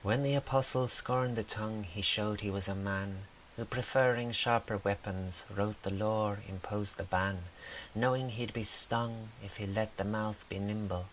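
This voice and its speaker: Man, poetry reading, low pitch, unhurried pace, deliberate, wry. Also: steady tone, rough recording. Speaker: poet reading own poems. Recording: faint background hiss.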